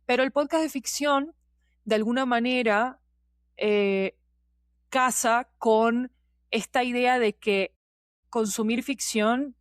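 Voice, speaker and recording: A woman's voice: fairly high pitch, dry recording, studio quality. A woman explaining things in casual, digressive conversation and often checking in with her listener. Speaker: podcast guest, producer and teacher.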